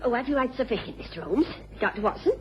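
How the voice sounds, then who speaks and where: An actress playing a sensible, protective English innkeeper's daughter in a radio drama, firm and soothing. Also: female voice, fairly high pitch, animated, quick pace, close to an everyday voice. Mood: firm, reassuring. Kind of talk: British radio drama acting. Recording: dull old radio recording, clear noise.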